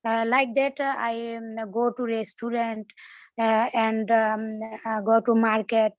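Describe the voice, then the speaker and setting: Woman student on a video call, slow and halting, lots of ums, fairly high voice. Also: little pitch movement, dry recording, lo-fi sound. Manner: hesitant, many fillers, searching for words. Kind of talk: classroom practice.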